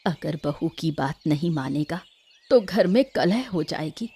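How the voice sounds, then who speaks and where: A woman's voice: low-pitched, animated, a little slow, warm tone, slightly rough, heavily acted. A woman playing a meek, long-suffering old mother-in-law who pleads and effaces herself in an acted story. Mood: gentle, stoic. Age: older. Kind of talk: Hindi audio story acting.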